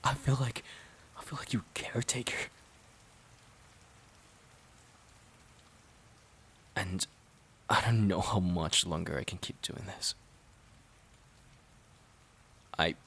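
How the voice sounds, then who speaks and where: A man acting in an emotional audio drama as an exhausted, resentful boyfriend, halting and pleading with a breathy voice that often drops close to a whisper. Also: on the low side, fairly bright tone, heavily acted. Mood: weary, hurt. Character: exhausted, resentful boyfriend at his breaking point.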